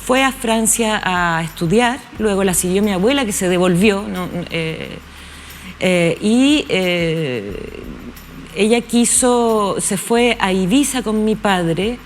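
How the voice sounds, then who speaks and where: A woman in her fifties from Chile speaks as a studio guest, weighing her words with frequent pauses and restarts. Her voice sits at an everyday middle pitch. A faint ambient hum runs beneath her. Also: expressive. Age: 50s. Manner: thoughtful, hesitant, many pauses and restarts. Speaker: guest, actress. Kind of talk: relaxed interview conversation.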